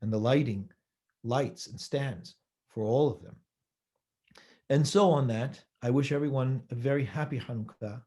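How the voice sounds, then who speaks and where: Man giving a measured holiday sermon. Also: medium pitch, mellow. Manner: measured, with rhetorical questions and repeated phrases. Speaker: rabbi giving a holiday talk.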